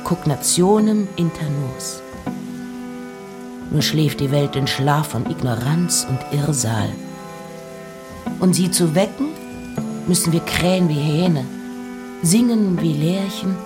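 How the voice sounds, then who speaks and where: An actress playing an intense, eccentric nineteenth-century woman poet, reciting in a literary radio play with deliberate, theatrical phrasing and pauses. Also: deep voice, full character voice. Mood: exalted, fervent. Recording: music behind the voice.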